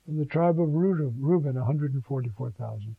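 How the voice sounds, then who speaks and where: A man's voice: a little high, a little fast, vocal fry, husky, dry recording, narrow, tinny audio. A man preaching on scripture, talking conversationally and rising to fervent emphasis. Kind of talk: sermon on scripture.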